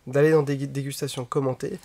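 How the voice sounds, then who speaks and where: A man hosting an interview, talking in a relaxed, reflective way while slightly congested with a cold. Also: medium pitch, quick pace. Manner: relaxed, reflective, frequent affirmations. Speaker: podcast host. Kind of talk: conversational interview.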